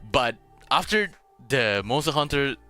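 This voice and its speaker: A man hosting a casual streamed chat, halting as he searches for words in a language that is not his native Japanese, sometimes switching to Spanish. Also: medium pitch, animated, sharp tone. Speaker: host of a streamed radio show. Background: music.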